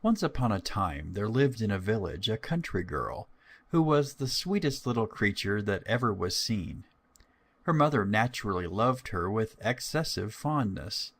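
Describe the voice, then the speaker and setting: A man's voice: on the low side, fairly bright tone, husky. A man narrating a scripted fairy tale as a storyteller.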